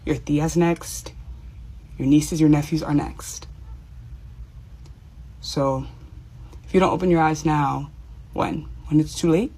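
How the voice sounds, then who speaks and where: A woman's voice: deep voice, fairly even intonation, slow, long pauses, warm tone, breathy edge. A woman from Miami with a Cuban family, speaking earnestly to camera as a social media commentator and pleading with her audience. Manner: earnest, pleading, with small nervous laughs. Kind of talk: direct-to-camera personal commentary.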